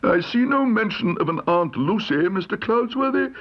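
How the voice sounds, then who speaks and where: A man's voice: sits high, animated, normal speed, highs cut off, pitched above the performer's own voice. A man voicing a pompous, indignant old judge in a children's storybook reading. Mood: incredulous, pompous.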